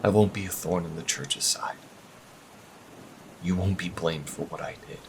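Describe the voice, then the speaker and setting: A man's voice: low voice, normal speed, gravelly. A man playing a defiant healer pleading with his lover in an intense, emotional fantasy audio drama. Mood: sad, resolute. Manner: intense, emotional, swinging from hushed to shouting. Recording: rain and thunder noise behind.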